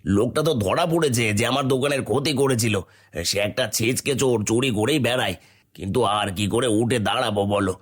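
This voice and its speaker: Man playing a kind, earnest village shopkeeper, medium-pitched. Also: a little fast, breathy edge, lightly acted. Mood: downcast, hesitant. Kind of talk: animated moral tale voice acting.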